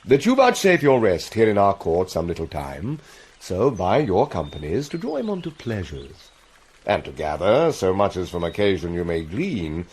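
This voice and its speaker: Stately usurper king, lowish voice, hugely varied pitch, commanding, courtly, classical drama. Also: darkish tone, breathy edge, exaggerated voice. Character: commanding, smooth-talking middle-aged usurper king. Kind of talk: classical Shakespearean drama acting.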